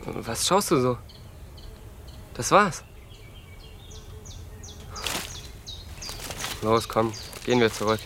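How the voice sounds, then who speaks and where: Surly teenage boy for radio play: forceful bright voice, curt, dismissive, domineering. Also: medium pitch, expressive, unhurried, slight background noise. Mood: domineering, harsh. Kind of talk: radio drama dialogue.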